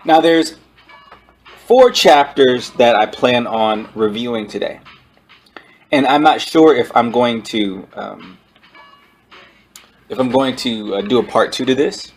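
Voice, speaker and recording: A man with an average-pitched voice talks through a book review as an online host. His delivery is casual and slangy, and he often stalls to search for words. Faint music plays along with him. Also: slow pace, pauses often, husky.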